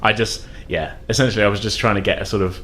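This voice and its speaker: Low-voiced man, hesitant tabletop gamer. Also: fairly even intonation, fast talker, bright, forceful tone, a little hiss or hum. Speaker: player in a tabletop role-playing game. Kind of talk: casual improvised storytelling at a game table.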